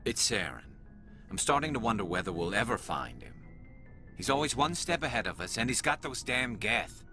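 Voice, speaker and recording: An actor playing a frustrated, earnest and intense alien soldier loyal to the crew in a video game. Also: male voice, on the low side, sing-song, normal speed, bright, forceful tone, soft background music.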